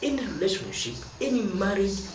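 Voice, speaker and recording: A man's voice: sits high, big pitch swings. Kenyan man teaching with echoey distant sound. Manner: emphatic, drawn-out stresses on key words. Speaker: marriage advice speaker. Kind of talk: teaching monologue. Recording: roomy echo from a distance, noisy.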